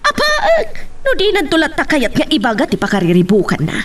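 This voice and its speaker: A woman with a Filipino accent playing a worried wife and mother in an audio drama, anxious but gentle with her daughter. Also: high voice, wildly swooping pitch, fast, recorded from a distance. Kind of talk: Filipino radio-style drama acting.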